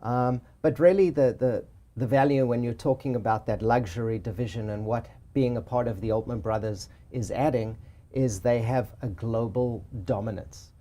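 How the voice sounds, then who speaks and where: South African-accented man, mellow tone. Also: medium pitch, normal speed. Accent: South African with a Boston tinge. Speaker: luxury real estate agent. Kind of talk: interview conversation.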